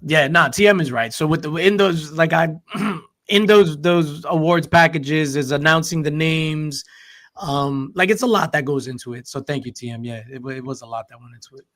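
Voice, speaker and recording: A man's voice: on the high side, normal speed, fairly bright tone. A man hosting a livestream, earnestly explaining his side at length with fillers. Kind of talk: casual chat.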